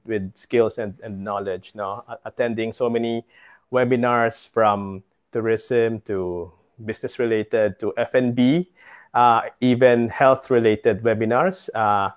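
A middle-aged man with a Filipino accent answering as an interview guest on a video call, phrasing things hesitantly. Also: fairly low pitch. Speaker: tour guide and business founder, interview guest. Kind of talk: webinar interview. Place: home study with bookshelves.

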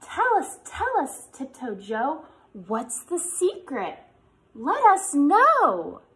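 A woman's voice: high voice, drawn-out words. An American woman in her thirties leading a children's storytime, with an exaggerated, encouraging way of talking to young kids. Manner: animated, encouraging, exaggerated child-directed delivery with questions. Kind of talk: preschool lesson and picture-book read-aloud.

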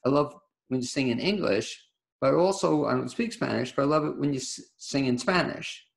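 Gravelly older male interviewer. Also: mid-range voice, animated, a little fast. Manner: friendly, meandering questions. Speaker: radio show host. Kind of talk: casual interview over video call. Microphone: webcam on video call.

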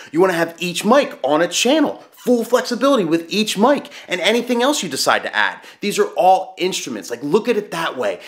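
A man in his thirties, a DJ, talking straight to camera in a small home room, loud and projecting, animated and gesturing as he explains. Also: sits high. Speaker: DJ giving tips. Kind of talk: energetic direct-to-camera explainer.

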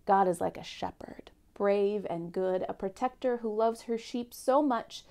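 A young woman giving a gentle Lent reflection at home and reading a picture book aloud, speaking softly and often near a whisper into a lapel mic. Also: mid-range voice, a little slow, gravelly. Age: young adult. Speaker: church speaker. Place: home living room, indoors.

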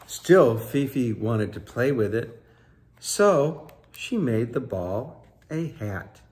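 Slow, expressive older storyteller. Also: male voice, medium pitch. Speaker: storyteller for children. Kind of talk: read-aloud picture book narration. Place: living room.

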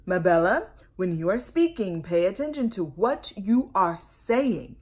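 A woman voicing a wise mouse father in a storybook, slowly and emphatically giving his daughter advice. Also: mid-range voice, sing-song, a little mellow, slightly rough. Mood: earnest warning. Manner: slow, emphatic, instructive.

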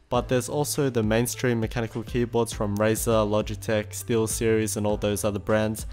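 A young man with an Australian accent, narrating an easygoing voice-over of a shopping trip. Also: mid-range voice. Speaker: vlogger.

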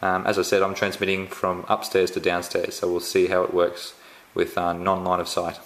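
An Australian man giving a casual unboxing walkthrough at home, halting and full of ums and restarts. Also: deep voice, monotone, fairly bright tone. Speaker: tech reviewer. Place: indoors at home, filming the box up close.

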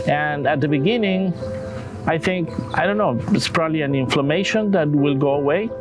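A man in his sixties with a Mexican accent, a native Spanish speaker, telling his story as a patient in a documentary interview in measured, halting phrases. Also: a little high, over music, rough recording. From northern Mexico.

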